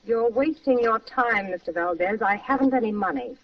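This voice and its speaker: A woman's voice: on the high side, moderate pace, lightly acted. An actress playing a poised, sceptical married society woman in an old-time radio drama. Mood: defiant. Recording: muffled, distant, faintly noisy old radio recording.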